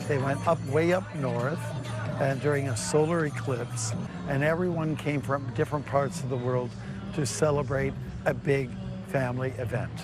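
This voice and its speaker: Man explaining a festival over music. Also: mid-range voice. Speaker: festival participant. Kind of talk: interview.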